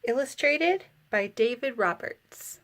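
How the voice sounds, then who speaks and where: Woman reading a storybook, very animated pitch. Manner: dramatic, deliberate storytelling. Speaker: parent reading a picture book aloud. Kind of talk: expressive rhyming storybook reading for children.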